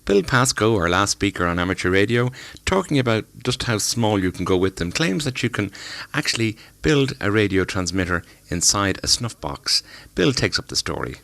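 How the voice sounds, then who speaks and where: A man interviewing guests for a radio program, asking brisk, prompting questions. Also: lowish voice, animated, creaky, fairly bright tone.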